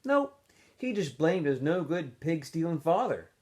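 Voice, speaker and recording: A man's voice: a little high, big pitch swings, measured pace, darkish tone, pitched above the performer's own voice. A man voicing a patient father from a storybook, telling family history in a plain, matter-of-fact way.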